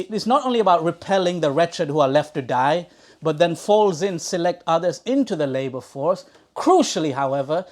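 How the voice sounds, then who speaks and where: A man from Sri Lanka hosting a book talk, speaking in an erudite, wordy way with halting restarts and repeated words. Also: fairly high pitch. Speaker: event host introducing an author. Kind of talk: academic introductory remarks at a live book talk. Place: talk in a bookshop before an audience.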